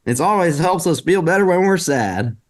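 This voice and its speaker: A woman's voice: fairly low pitch, lively intonation, moderate pace, natural voice. A woman playing a kind, chirpy little girl in a children's story table read. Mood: cheerful, kind.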